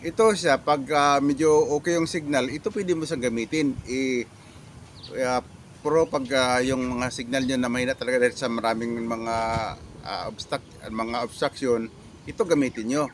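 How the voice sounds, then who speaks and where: A Filipino man giving a casual product demo as a reviewer, talking in a halting, repetitive way with catchphrases. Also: medium pitch, fairly even intonation, a little hiss or hum.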